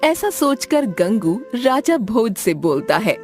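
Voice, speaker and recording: A woman's voice: fairly high pitch, very animated, takes it slowly. A woman narrator reading a scripted moral story for a cartoon. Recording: music behind the voice.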